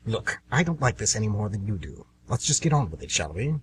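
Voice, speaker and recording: A man's voice: on the low side, big pitch swings, brisk. A man playing the Devil as an anxious, aggrieved, scheming businessman in a comedic amateur radio play, sardonic and always bargaining. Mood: irritated. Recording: noisy amateur radio recording with hum.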